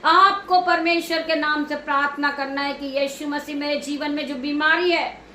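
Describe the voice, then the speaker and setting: A woman delivers a fervent sermon in a high-pitched voice. She exhorts emphatically, repeating her phrases as she prays. The room rings with echo and there is some noise behind her. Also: steady tone, moderate pace, sharp tone. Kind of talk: fervent Hindi sermon and evening prayer. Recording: echoey room with clear background noise.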